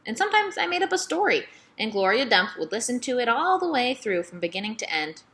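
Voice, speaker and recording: A woman's voice: on the high side, big pitch swings, a little fast, sharp tone. A woman reading a children's novel aloud as scripted first-person narration. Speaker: storybook reader.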